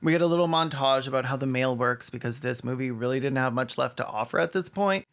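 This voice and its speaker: A man's voice: mid-range voice, fast talker, gravelly, faint music behind. A man narrating scripted comedic commentary in a wry, sarcastic manner. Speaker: video essay narrator.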